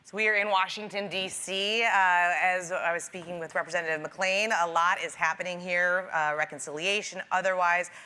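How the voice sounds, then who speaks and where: A woman's voice: fairly low pitch, fairly even intonation, normal speed, few pauses, pushed and bright, smooth. A woman moderating a business panel, conversational and hedging her questions with "kind of".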